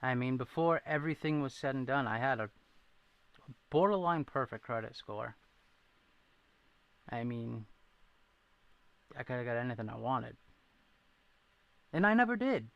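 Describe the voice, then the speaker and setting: Gravelly man with a thin Boston accent. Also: mid-range voice, expressive, unhurried, long pauses, dull audio. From New England, near Rhode Island. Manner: rambling, self-deprecating, reacts to chat. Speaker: livestream host. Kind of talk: casual livestream chat, answering viewers.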